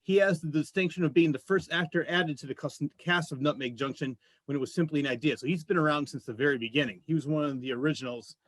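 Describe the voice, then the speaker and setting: A man with a somewhat high voice hosts a conversational interview. He puts questions to his guests, recorded dry with little room sound. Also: quick pace, hardly any pauses, a bit bright, dry recording. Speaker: interview show host.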